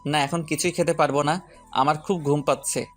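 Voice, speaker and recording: A man's voice: a little high, steady tone, a little fast, faint music behind, close to an everyday voice. A man playing a shy, injured young man who politely protests and pleads in an audio love story. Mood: tired, apologetic.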